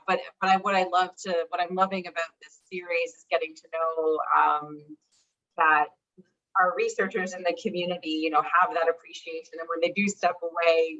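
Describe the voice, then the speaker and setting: A woman's voice: fairly low pitch, a bit bright, lo-fi sound. A woman hosting an interview, friendly and chatty, with many "you know" and "um" fillers. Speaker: interview host for a health charity. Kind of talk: video call interview.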